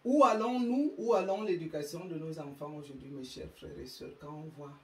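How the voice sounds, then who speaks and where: A woman's voice: sits low, reverberant, recorded from a distance. A woman with an African French accent hosting her own channel, delivering an emphatic, moralizing monologue. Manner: slow, emphatic, preachy. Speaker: YouTube channel host.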